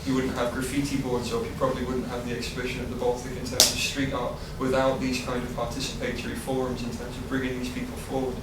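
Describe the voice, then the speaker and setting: A man's voice: mid-range voice, monotone, fast, few pauses. A man from Newcastle with a Geordie accent, speaking as a panelist in a discussion. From Newcastle, England. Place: barbershop event space. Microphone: far room mic. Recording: echoey room from far off, background noise.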